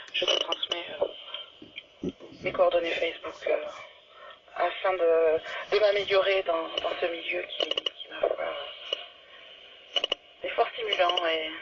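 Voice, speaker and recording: A loudly hissing, muffled two-way radio carries a man's high voice. He speaks haltingly and politely, with rather formal wording, like a novice operator in a casual exchange. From France. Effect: two-way radio transmission.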